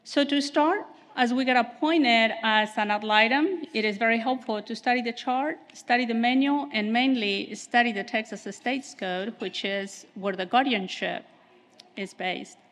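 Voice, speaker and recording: A woman attorney giving a measured, formal conference presentation in a soft voice. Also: fairly high pitch. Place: conference hall. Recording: reverberant hall.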